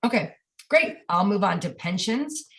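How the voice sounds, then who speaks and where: A woman with a stuffy, congested voice, giving a steady update over a video call during a board meeting. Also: mid-range voice, measured pace. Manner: steady, informative, with some fillers. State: stuffy, getting over a cold. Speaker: legislative update presenter.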